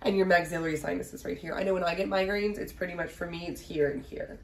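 A woman's voice: on the low side, fairly even intonation, fast, gravelly, slight background noise. A woman in her thirties giving a casual recorded biology lecture from a small home office, a little nasal from a cold, often asking "right?". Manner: chatty, asks "right?" often, fillers. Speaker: biology instructor.

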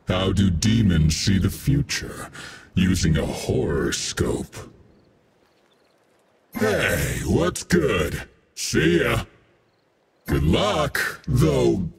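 A man voicing a cocky, menacing demon-powered gang boss for a video game, gravelly and full of boastful taunts. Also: deep voice, very animated, extremely slow, pauses often, noisy background, full character voice. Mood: cocky, joking. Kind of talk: video game character voice pack.

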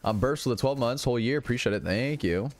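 A man with Romanian as his first language, chatting casually while streaming a game and mocking himself. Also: medium pitch. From United States. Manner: chatty, self-mocking, quick exclamations. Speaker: gaming streamer. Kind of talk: casual gameplay commentary.

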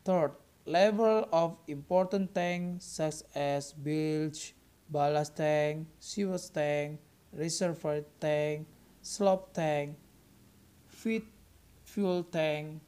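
A young man with an Indonesian accent reads a scripted student presentation aloud, halting word by word. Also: on the high side, a little mellow, clear, resonant tone. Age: young adult.

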